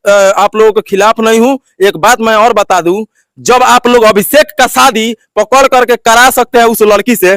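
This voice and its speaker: A man working as a vlog host, interviewing and commenting to viewers in halting, repetitive phrasing with filler phrases. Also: very high voice, a bit bright, no room sound.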